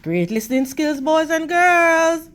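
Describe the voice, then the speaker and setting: A woman reading a picture book aloud to children in a teacherly manner, with deliberate, emphatic phrasing and questions for her young listeners. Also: sits high, sing-song, fairly bright tone. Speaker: read-aloud storytime host for children.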